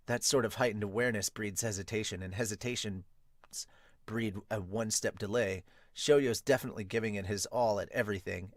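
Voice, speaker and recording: A cool young sports strategist voiced by a man for a manga dub, pitched down low. He speaks quietly and with measured, calculating menace, in a dry sound. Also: dry recording, lightly acted.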